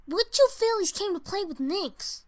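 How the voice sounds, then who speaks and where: Feisty little cartoon pony girl, extremely high-pitched, animated swings, emphatic. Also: normal speed. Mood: alarmed curiosity. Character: feisty, indignant young filly pony. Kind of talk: cartoon character acting in audio story.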